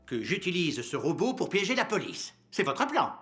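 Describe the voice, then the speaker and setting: A man's voice: a little high, sing-song, moderate pace, sharp tone. A man voicing a sly, mocking trickster in a French cartoon dub.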